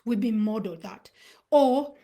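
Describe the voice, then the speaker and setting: Husky-voiced woman giving a reflective talk. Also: fairly high pitch, mellow. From Uganda, raised in Sweden. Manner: reflective, storytelling with pauses. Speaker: host. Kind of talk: motivational live talk.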